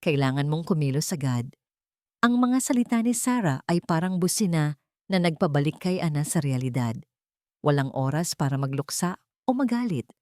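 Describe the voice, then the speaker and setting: A woman's voice: lowish voice, darkish tone, dry recording, clean studio sound. A woman reading a scripted, dramatic romantic story as a narrator.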